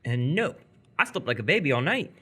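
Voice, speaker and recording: Teenage boy played by a man, nervous, inquisitive, earnest, animated pitch, stumbling delivery for radio theatre. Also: a little fast, husky, exaggerated voice. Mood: awkward. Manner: hesitant, rambling, earnest. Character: Nordal, awkward, earnest, inquisitive seventeen-year-old boy. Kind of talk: live radio theatre acting.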